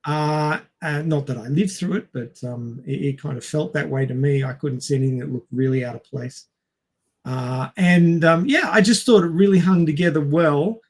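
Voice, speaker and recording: A man co-hosting a casual film discussion, speaking in a halting, hesitant way and stretching out words. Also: on the high side. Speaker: podcast co-host.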